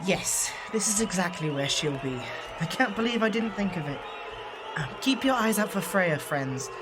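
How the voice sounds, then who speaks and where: A man's voice: sits high, animated, music in the background, crowd noise. A voice actor, a man, playing a solemn, loyal exiled shapeshifter who speaks formally and earnestly in an audio drama. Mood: eager. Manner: formal, measured, earnest speech. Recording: clear background noise and ambience.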